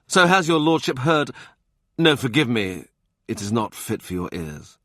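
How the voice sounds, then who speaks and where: A man's voice: mid-range voice, big pitch swings, measured pace, fairly bright tone, no echo, clean studio sound. A man voicing a shrewd, deferential male clerk who talks politely and slyly.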